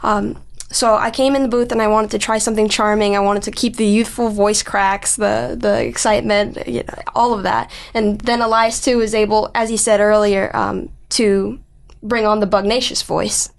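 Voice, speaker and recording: A woman's voice: medium pitch, flat delivery, moderate pace, vocal fry. A woman storyboard artist with a childlike voice, talking casually in an interview.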